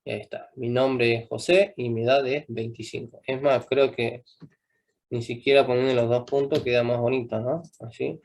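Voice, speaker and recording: Young male coding instructor, mid-pitched, patient, constantly checks understanding. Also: steady tone, normal speed, a little mellow. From Argentina. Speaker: programming teacher. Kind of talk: live coding class.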